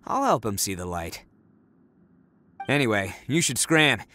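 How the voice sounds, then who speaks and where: A man's voice: on the low side, big pitch swings, measured pace, sharp tone, slight background noise, exaggerated voice. A man voicing a brash, boastful but good-hearted young oni in a video game, with a cocky, informal swagger. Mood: reassuring. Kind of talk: English video game voice acting.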